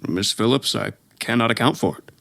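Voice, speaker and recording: A man's voice: lowish voice, a little fast, vocal fry, a bit bright. A man playing a skeptical astronomy professor in a 1930s-style radio drama, scholarly, matter-of-fact and a little hesitant. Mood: dismissive, scholarly.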